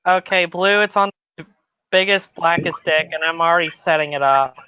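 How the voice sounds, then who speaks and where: A man's voice: a little high, normal speed, bright, forceful tone, smooth, no room sound. A young man chatting casually about games with friends over a dull lo-fi voice call. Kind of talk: casual gamer chat. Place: online voice call.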